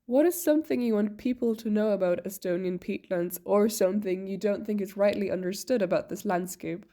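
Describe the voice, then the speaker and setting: A woman hosting a podcast, reading scripted interview questions plainly and clearly. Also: mid-range voice, brisk, warm tone.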